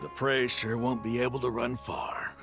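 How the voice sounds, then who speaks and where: An actor playing a loyal, battle-hardened male desert mercenary in a video game, gruff and deliberate with a gravelly voice. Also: mid-range voice, flat delivery, music in the background, lightly acted. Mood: grimly eager. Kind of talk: scripted game dialogue.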